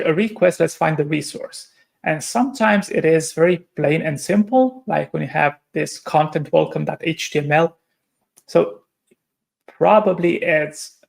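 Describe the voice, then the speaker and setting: A man's voice: on the high side, creaky. A man from Romania, giving a technical conference presentation and explaining step by step with frequent hesitations and self-corrections. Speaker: conference speaker.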